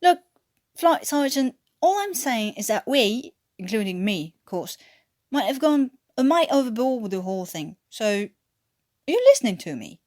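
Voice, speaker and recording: Woman voicing a cheeky, animated British airman. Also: a little high, moderate pace, voice pushed up. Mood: coaxing. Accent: English. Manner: blunt, chummy, sardonic. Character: cheeky British airman prisoner, man, reasoning and needling.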